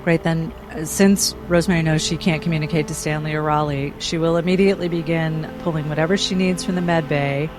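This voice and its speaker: A woman's voice: sits low, steady tone, a little fast, few pauses. Woman, tabletop player, casual delivery, describing character actions, music behind.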